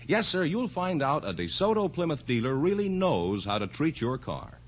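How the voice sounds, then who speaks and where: Animated male vintage radio ad announcer. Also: fairly high pitch, moderate pace. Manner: salesmanlike, emphatic. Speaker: commercial announcer. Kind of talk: 1950s radio commercial, scripted pitch. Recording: dull vintage radio recording.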